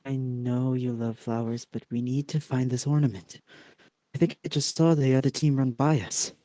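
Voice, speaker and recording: A man's voice: medium pitch, steady tone, normal speed, warm tone, dry recording, lightly acted. A man playing a focused, impatient teenage wizard schoolboy in an amateur audio drama. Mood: urgent, playful. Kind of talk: hobby audio drama acting.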